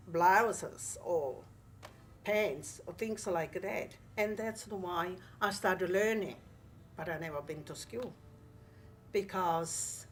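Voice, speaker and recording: Brief: woman, Italian accent, very slow and searching, lowish voice, music faintly under. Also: slight background noise. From Italy. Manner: slow, searching for words, second-language English. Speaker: interviewee. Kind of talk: casual recollection.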